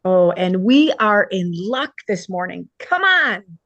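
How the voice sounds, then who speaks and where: A woman's voice: mid-range voice, very animated, slow, a bit bright, no echo, muffled recording, exaggerated voice. A woman playing a kind, encouraging young woman in a drama table read. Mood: playful, encouraging.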